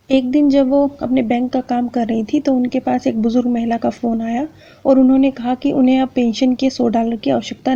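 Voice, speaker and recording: High, mellow-voiced woman narrating. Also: breathy edge. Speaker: presenter of a spiritual channel. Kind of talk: scripted narration of true accounts. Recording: dull, lo-fi sound.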